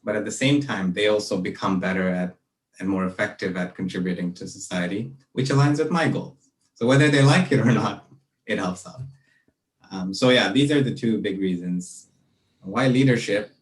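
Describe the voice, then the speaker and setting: A man's voice: fairly low pitch, normal speed. A young man from Malaysia and Brunei, a podcast guest talking earnestly and reflectively about his work. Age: young adult. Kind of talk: casual interview. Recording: roomy audio from a distance.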